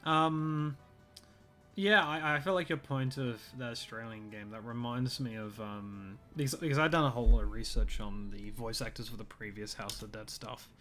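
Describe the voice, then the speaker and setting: A man's voice: medium pitch, moderate pace, pushed and bright, soft background music. A man hosting an interview, rambling a little and full of "like" and other fillers. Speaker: interviewer, host. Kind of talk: casual interview conversation.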